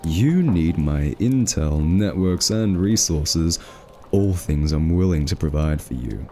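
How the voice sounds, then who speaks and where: Casting: male actor as smug thief for ASMR roleplay; very low, mellow voice, flirtatious, teasing drawl, faint music underneath. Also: expressive, slow. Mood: scheming, confident. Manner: teasing, drawling, suggestive innuendo. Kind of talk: ASMR roleplay, scripted character acting.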